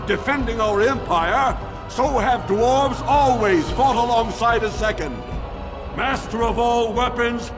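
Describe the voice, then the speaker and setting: Reverb from a vast hall carries a dwarf arena master from a fantasy game. He declaims formally and grandly at an unhurried pace, pitched very high for a man. Music plays behind him. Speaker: arena announcer. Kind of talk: theatrical fight announcing in a fantasy game.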